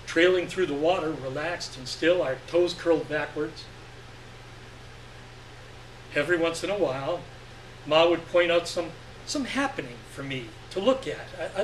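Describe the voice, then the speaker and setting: Higher-voiced man reading in an echoey room. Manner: deliberate phrasing with dramatic pauses. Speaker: story reader. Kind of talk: expressive live literary reading. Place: live reading event indoors. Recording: echoey room, distant mic, faint hum.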